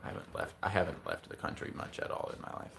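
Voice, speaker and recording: An American man of twenty-nine from California, chatting casually as a streamer with a viewer and asking friendly, curious questions. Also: fast talker. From California, USA. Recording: steady background noise.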